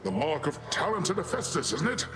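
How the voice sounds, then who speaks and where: A man's voice: fairly high pitch, very animated, normal speed, background noise. A man acting a boastful, goofy middle-aged sea god uncle in a video game, with a grand, jovial theatrical delivery. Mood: jovial grumbling.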